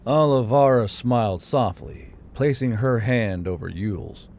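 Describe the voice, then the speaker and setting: A man's voice: medium pitch, lively intonation, a little slow, darkish tone. A man reading scripted fantasy narration as an audiobook narrator. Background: background music.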